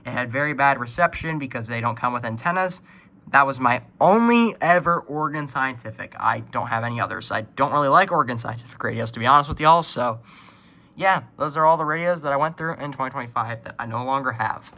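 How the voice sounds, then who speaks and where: A man's voice: mid-range voice, lively intonation, fairly quick, bright, forceful tone. A man casually walks viewers through a hobby collection in an informal, matter-of-fact way. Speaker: hobbyist video presenter.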